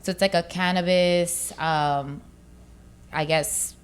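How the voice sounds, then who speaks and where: Low, smooth-voiced woman in casual chat. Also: fairly even intonation. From born in Mexico, raised in Chicago. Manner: slangy, informal, quick to laugh. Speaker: interview guest, business owner.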